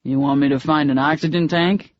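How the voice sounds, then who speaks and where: A man voicing a cocky young daredevil who teases in a low, lazy drawl. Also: steady tone, fairly quick, no echo, natural voice. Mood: taunting. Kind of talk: audiobook dialogue. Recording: dull lo-fi dry audio.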